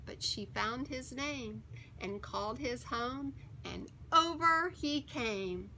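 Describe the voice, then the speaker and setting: A woman reading a children's picture book aloud in an expressive, deliberate and emphatic storytelling manner. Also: high-pitched, a little hiss or hum, rough recording. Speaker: story time reader.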